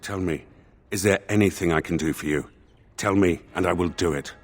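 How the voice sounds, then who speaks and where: An actor playing a solemn spirit of justice in a dead man's body for a video game, speaking formally and with measured thought. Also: deep voice, soft background music. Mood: gently solemn.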